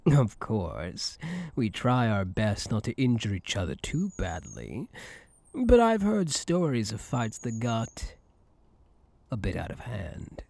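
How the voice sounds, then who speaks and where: Man acting male elf hunter, possessive, tender, coaxing, mellow husky low voice, unhurried, close dry ASMR roleplay. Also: dry recording, full character voice. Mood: confident, explaining. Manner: coaxing, soothing, intense, often dropping to a whisper.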